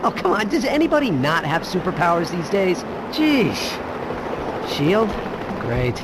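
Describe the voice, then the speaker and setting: An actor playing a cheeky, nervy, wisecracking young superhero in a video game. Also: male voice, high-pitched, very animated, measured pace, heavy background noise. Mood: exasperated. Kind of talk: video game cutscene acting.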